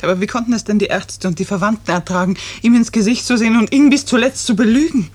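Eine Schauspielerin spielt in einem Hörspiel der fünfziger Jahre eine schwerkranke Frau, eindringlich, bitter und wehmütig. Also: female voice, on the high side, fast, a little mellow, slightly rough. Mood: bitter, reproachful. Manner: intense, reproachful, wistful. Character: seriously ill woman patient, bitter, searching, wanting the truth. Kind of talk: 1950s German radio drama acting. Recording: noisy early 1950s radio recording.

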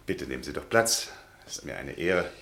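An actor in a radio play playing a shy, pedantic history professor, precise and hesitant but easily indignant. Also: male voice, rumbling low, lively intonation, normal speed, vocal fry, a bit bright, heavily acted. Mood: polite. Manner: precise, hesitant, flaring into agitation. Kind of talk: German radio drama acting.

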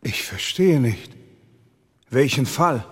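Husky, expressive proud prince. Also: male voice, mid-range voice, takes it slowly. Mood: formal, composed. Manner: formal, dignified, indignant when crossed. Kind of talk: German radio drama acting.

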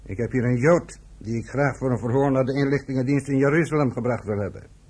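An actor playing a hard, contemptuous police inspector in a radio play, with a brisk, authoritative manner. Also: male voice, mid-range voice, a little mellow, breathy edge, natural voice. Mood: businesslike.

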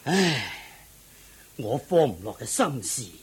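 Radio drama king male actor, Hong Kong Cantonese: husky, unhurried, swooping intonation, fatherly and fretful. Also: medium pitch, big pitch swings, exaggerated voice. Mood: troubled, sighing. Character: anxious, doting middle-aged king, father of a prince.

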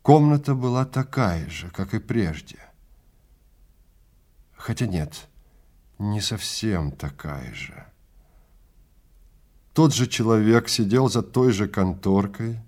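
A man's voice: on the low side, very animated, takes it slowly, long pauses, darkish tone. A man narrating a science fiction story in a dramatic, scripted reading style.

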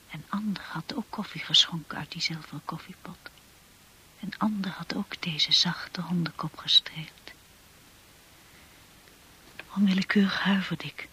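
An actress playing a shy, insecure young wife in a radio drama, with a hushed, breathy voice and a timid, hesitant way of talking. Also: female voice, on the low side, full character voice, rough recording. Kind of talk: radio drama narration and acting.

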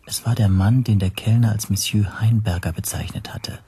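A man's voice: on the low side, steady tone, normal speed, warm tone, slightly rough. An actor playing a tense, self-doubting man who tells his own story as introspective first-person narration in a radio play. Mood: brooding.

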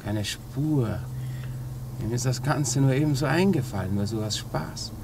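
A man's voice: medium pitch, measured pace, gravelly. An actor playing a cornered male criminal confessing to an inspector in a 1950s radio crime drama. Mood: insistent. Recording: noisy old 1950s radio recording.

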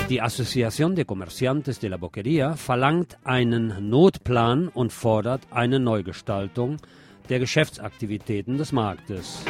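A man reading scripted radio news and commentary in a wry, editorializing way. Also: mid-range voice. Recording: music bed under the voice.

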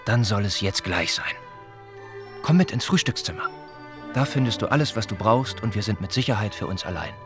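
An actor playing a kind young older cousin in a radio play, speaking gently and patiently to reassure a child. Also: male voice, on the low side, expressive, moderate pace, over music, lightly acted. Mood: gentle, reassuring.

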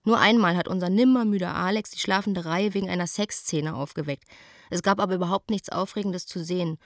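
A woman's voice: on the low side, fairly quick, dry recording, clean studio sound, natural voice. A woman playing a spirited, restless young woman who reads her letter to a friend in a radio drama, telling it eagerly and expressively. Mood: excited, amused.

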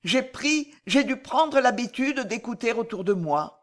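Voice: mid-range voice, animated, a little slow, voice pushed up, lightly acted. A tormented woman spy, played by a female reader, pleads with humble intensity. Her formal words come out strained and faltering, heavy with guilt, as in a dramatic historical audiobook. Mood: ashamed.

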